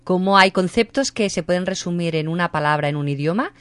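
Woman w/ a lowish voice, musing and amused in a group chat. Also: fairly quick, clear, resonant tone. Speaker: panelist. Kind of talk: casual panel chat.

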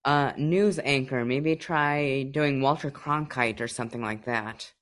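A man's voice: mid-range voice, unhurried, a bit bright. A man hosting a casual interview in a playful, joking way. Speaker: interview host.

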